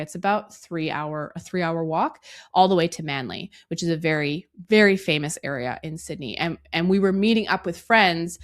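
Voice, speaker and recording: A woman in her thirties hosting a solo podcast, her voice hoarse and raspy from a cough, talking earnestly and reflectively to the listener. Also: lowish voice. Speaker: podcast host.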